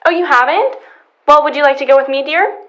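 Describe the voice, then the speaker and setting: A woman's voice: high voice, a little fast, fairly bright tone, highs cut off. An actress playing a fussy, sweet middle-aged housewife who calls out in a motherly sing-song, in old-time radio comedy style.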